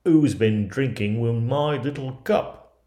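A man's voice: mid-range voice, slow pace, warm tone, close to an everyday voice. A man voicing small, fussy, kindly dwarves in a fairy tale, with an exclamatory, emphatic delivery. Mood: indignant, puzzled. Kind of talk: fairy tale character voices. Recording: roomy echoing recording.

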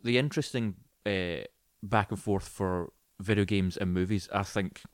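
Man, lowish voice, dry close sound, casual opinionated podcast talk, mock-quoting asides. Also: steady tone, moderate pace, dry recording. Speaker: podcast co-host and film reviewer.

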